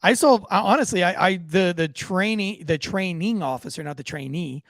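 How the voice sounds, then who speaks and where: A man's voice: sits high, moderate pace, fairly bright tone, dry recording, studio quality. Joking, profane podcast co-host man. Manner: loose, joking, profane, many restarts. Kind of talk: casual podcast banter and ad read.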